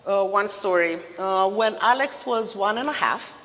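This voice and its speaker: A woman in her fifties from Romania with a Romanian accent, giving a mother-of-the-groom wedding toast in a loud voice, laughing between lines. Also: mid-range voice, a little slow, slight background noise. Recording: reverberant hall.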